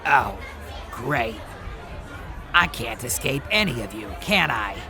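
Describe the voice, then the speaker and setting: A man's voice: mid-range voice, takes it slowly, over music, crowd noise. A man voicing a bitter, resentful man who answers curtly and grudgingly in an audio drama. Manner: curt, flat and grudging.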